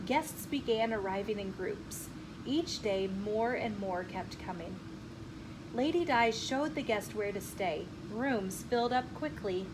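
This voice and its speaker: A woman reading a children's picture book aloud in an expressive, deliberate storytelling manner. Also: medium pitch. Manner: animated, deliberate storytelling with acted dialogue. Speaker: children's story reader and channel host. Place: inside a bookstore. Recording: clearly noisy room with ambient sound.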